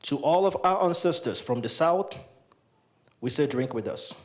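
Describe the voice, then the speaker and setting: A man's voice: on the high side, lively intonation, normal speed. A young man from Ghana leading a libation ceremony in a large hall, speaking into a handheld mic with solemn, repeated invocations. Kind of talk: ceremonial address.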